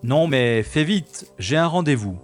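An actor playing a brisk, wry special agent in a science-fiction audio drama. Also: male voice, fairly high pitch, expressive. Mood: relaxed, curt. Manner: terse, informal, questioning. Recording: echoey reverb with music.

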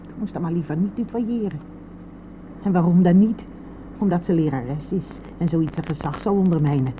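A woman's voice: fairly low pitch, normal speed, phone-quality sound, lightly acted. A thin, band-limited radio recording with faint vehicle noise carries a woman acting a stubborn old mother. She is blunt, insistent and aggrieved, in the manner of Dutch radio drama. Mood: aggrieved, mocking. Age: older. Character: stubborn, aggrieved old mother, disapproving of her daughter-in-law.

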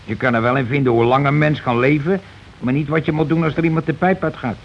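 A man's voice: a little high, fast, lightly acted. A blustering working-class husband , played by a male actor in an old radio play. He lays down the law in colloquial speech and pushes for agreement. The recording is muffled, with some noise behind the voice. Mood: grumbling, searching. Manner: argumentative, colloquial, keeps demanding agreement. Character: bossy, blustering working-class husband and landlord, war veteran.

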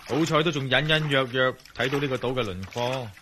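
An actor playing a resolute, self-assured ex-soldier adventurer who thinks aloud in a radio drama. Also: male voice, medium pitch, brisk, lightly acted. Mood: self-talking, relieved. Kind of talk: radio drama dialogue and spoken-thought monologue. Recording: radio drama with noisy ambience.

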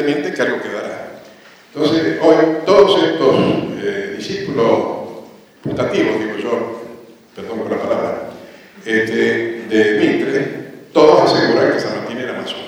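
A man giving an anecdotal history lecture at a conference, often posing questions to his listeners and answering them himself. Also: mid-range voice. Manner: rhetorical questions, re-enacts dialogue. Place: large echoey hall at a conference. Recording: echoey hall, distant microphone.

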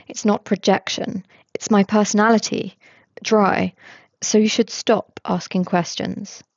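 An irritable young man with a flat, biting monotone, snapping out his words, as a woman reader puts him on. The voice is a little gravelly, recorded dry and slightly dull. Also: medium pitch, unhurried, dry recording. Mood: dry, irritated.

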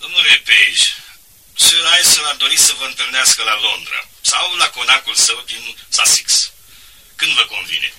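An actor playing a polite, persuasive private secretary telephoning in a radio drama. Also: male voice, medium pitch, animated, bright, forceful tone, far from the mic, slight background noise, low-quality recording. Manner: polite, formal, suave. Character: polished, persuasive male private secretary to a magnate.

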